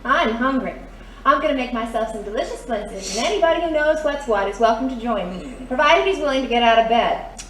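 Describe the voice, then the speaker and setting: A woman's voice: fairly high pitch, animated, brisk, natural voice. A woman playing a perky, doting girlfriend in an audio drama, chirpy, coaxing and playful. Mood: cheerful, coaxing. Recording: roomy, distant, faint noise.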